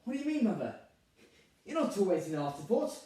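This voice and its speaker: A man's voice: high-pitched, sing-song, brisk, warm tone. A young man playing a harried, put-upon groom in a dramatic stage monologue, theatrical, emphatic and exasperated. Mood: pleading. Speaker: drama student performer. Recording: very echoey room, distant microphone.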